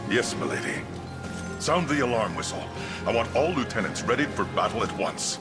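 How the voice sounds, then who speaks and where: A man playing a stern, loyal retainer in a video game, speaking formally and with measured reproof. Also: mid-range voice, very animated, close to an everyday voice. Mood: brisk, dry. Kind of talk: video game cutscene acting. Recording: loud game music behind. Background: game music.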